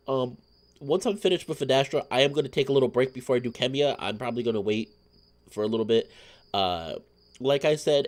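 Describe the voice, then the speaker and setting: A man's voice: mid-range voice, a little mellow. A slightly sick man hosting a let's play stream, chatting in a rambling, apologetic way. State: a little sick.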